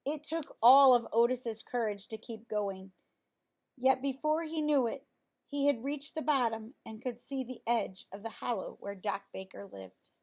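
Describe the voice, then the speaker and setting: A woman reading a children's picture book aloud in an expressive way, as if to young listeners. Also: fairly high pitch. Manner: expressive, explains words simply to children. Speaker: storybook reader.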